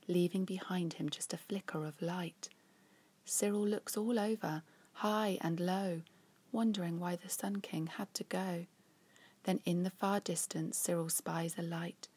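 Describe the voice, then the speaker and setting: Breathy, husky woman reading a storybook. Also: fairly low pitch. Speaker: author reading own children's story. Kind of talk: expressive storybook narration.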